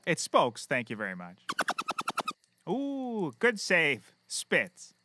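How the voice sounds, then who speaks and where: A man's voice: a little high, huge pitch swings, takes it slowly, pushed and bright, full character voice. A man playing a folksy, self-pleased, dubious private eye in a scripted audio comedy, jaunty and exaggerated. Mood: pleased, bossy. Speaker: lead character.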